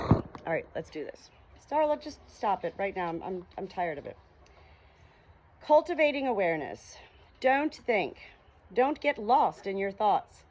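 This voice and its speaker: A woman reading aloud informally with casual asides, speaking in an unhurried way outdoors on a small farm. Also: medium pitch, a little mellow. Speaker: audiobook reader and small hobby farm keeper. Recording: noisy outdoor ambience with farm animals. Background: farm animal sounds, ambient noise.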